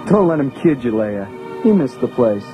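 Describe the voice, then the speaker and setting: A man's voice: mid-range voice, very animated, normal speed, natural voice. An actor playing an eager, earnest young male rebel pilot in a 1980s audio drama. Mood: friendly, teasing. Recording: loud music behind, radio drama production.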